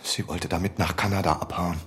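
A man's voice: deep voice, flat delivery, a little fast, a bit bright. An actor playing a bitter young man confessing to police in a German radio crime drama, subdued and resentful.

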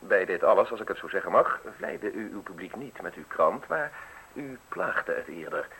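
An actor in a radio play playing a polite, insinuating state official with a formal, measured way of speaking. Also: male voice, low-pitched, big pitch swings, vocal fry, a bit bright, heavily acted. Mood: measured, accusing. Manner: formal, measured, courteous, deliberate phrasing. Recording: hissy, noisy old radio recording.

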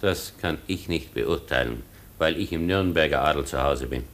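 An actor playing a stern, proud baron and guardian in a German radio play, speaking formally with dry disapproval. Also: male voice, extremely low pitch, normal speed, a bit bright, slight background noise. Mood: coldly polite.

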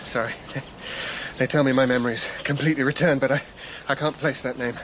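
An actor playing an earnest scientist in his thirties, recovering in hospital, with halting, questioning speech in a radio drama. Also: mid-range voice, fairly even intonation, noisy background, natural voice. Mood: puzzled. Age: 30s. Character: earnest thirty-eight-year-old geophysicist, a recovering patient, conscientious. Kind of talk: naturalistic radio drama acting.

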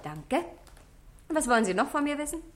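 A woman's voice: high-pitched, big pitch swings, fairly quick. An actress playing a cool, world-weary, provocative woman of twenty-one, aloof and flippant, in a German radio play.

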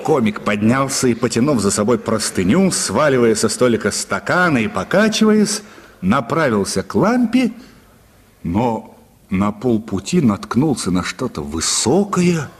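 A man's voice: medium pitch, sing-song, unhurried, husky. A man narrating a literary short story for a radio play in a dramatic storytelling style.

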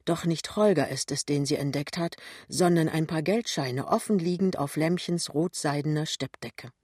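A woman with a fairly low voice narrates a literary novel. Her scripted storytelling is captured very dry, without room sound. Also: moderate pace, a little mellow, slightly rough, no room sound.

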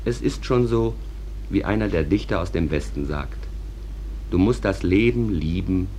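Animated, low-voiced folksy narrator. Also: male voice, normal speed, slight background noise. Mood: wry, companionable. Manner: conversational, addresses listeners directly. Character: wry, folksy stage manager narrating town life. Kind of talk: German radio play narration.

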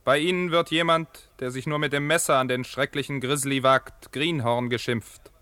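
A man plays a proud, righteous young warrior for radio drama. His voice is bright, smooth and resonant, with a formal, declamatory delivery. The recording is dry. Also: medium pitch, fairly even intonation, moderate pace, no room sound, close to an everyday voice. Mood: scornful, proud. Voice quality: clear, resonant. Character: proud young Apache chief's son, keen and righteous. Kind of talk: German radio drama acting.

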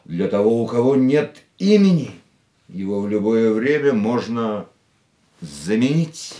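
An actor playing a worldly, restrained, fatalistic lover in a radio play, speaking in a measured, wry way. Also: male voice, lowish voice, sing-song, mellow, reverberant. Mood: wry, guarded.

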